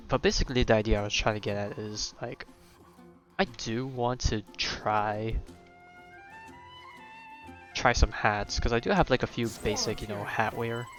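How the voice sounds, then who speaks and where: A man giving casual, rambling, laid-back live commentary as a game streamer. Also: medium pitch.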